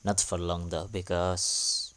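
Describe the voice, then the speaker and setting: Slow, low-voiced male narrator. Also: completely flat delivery, fairly bright tone. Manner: plain, matter-of-fact, broken English. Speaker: channel narrator. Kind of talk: recap narration.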